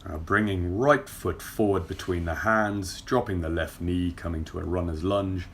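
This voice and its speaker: Casting: young male movement coach, deep gravelly voice, steady breath cues, faint hiss behind. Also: moderate pace. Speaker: yoga and movement instructor. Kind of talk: guided workout instruction. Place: indoor car park with brick wall. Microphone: far camera.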